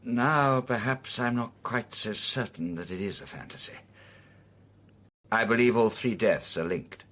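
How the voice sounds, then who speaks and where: An actor playing a courteous, guarded middle-aged amateur detective in a radio drama, with a measured, wry manner. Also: male voice, lowish voice, a bit bright. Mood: polite, candid.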